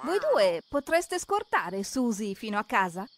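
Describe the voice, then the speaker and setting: A woman's voice: a little high, big pitch swings, measured pace. A woman narrating a children's cartoon, expressively asking questions and talking straight to the characters.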